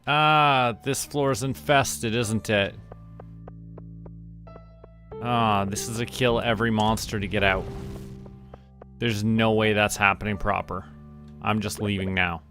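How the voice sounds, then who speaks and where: A man from Canada doing relaxed, casual commentary as he plays a video game, often muttering to himself. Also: fairly low pitch, takes it slowly, long pauses, soft background music. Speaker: streamer playing a video game. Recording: clear background noise with clicks.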